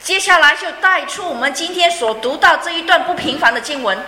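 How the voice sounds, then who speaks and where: A woman's voice: high-pitched, expressive, brisk, pushed and bright, a little hiss or hum. A woman interpreting a sermon phrase by phrase, fluent but with frequent fillers and light laughs. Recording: echoey room.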